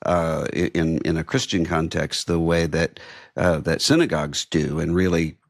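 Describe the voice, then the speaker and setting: A man interviewing in a thoughtful, conversational way, building his questions haltingly. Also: extremely low pitch, fairly even intonation, gravelly, no echo, studio quality.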